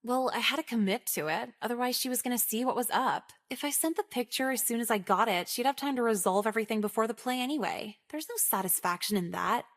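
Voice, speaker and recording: A young woman with an American accent playing a cynical, self-absorbed high-school girl in a visual novel, talking in a casual, slangy, sardonic way. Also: fast talker, hardly any pauses, lightly acted. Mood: smug, dry. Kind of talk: visual novel voice acting, first-person monologue.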